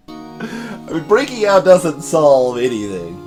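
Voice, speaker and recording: A man gives let's play commentary in a highish voice that swings widely in pitch. He jokes and digresses as he reads game dialogue, with faint music playing behind him. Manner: animated, joking, digressive. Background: soft game music.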